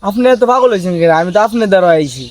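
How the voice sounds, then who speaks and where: A man's voice: high-pitched, big pitch swings, very fast. A man acting a gullible, worried villager with a plain, questioning way of talking in an amateur comedy skit, with light background noise. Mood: doubtful.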